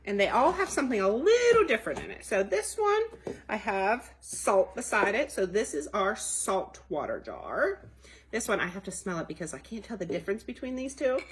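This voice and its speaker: A woman talks to young children as the host of a library storytime. Her pitch moves around in a very lively way and her voice has a rough, unclear edge. She explains things in simple words and often turns them into questions. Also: normal speed. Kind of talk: children's activity and science demonstration.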